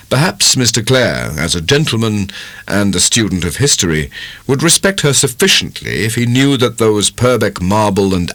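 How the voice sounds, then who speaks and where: Deep-voiced, expressive British male narrator. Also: no room sound. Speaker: audiobook narrator. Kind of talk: dramatic scripted literary narration.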